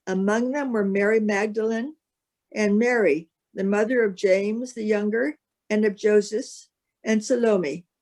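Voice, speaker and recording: A woman's voice: medium pitch, a little mellow. A woman reading a gospel passage aloud as scripted narration. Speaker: scripture reader.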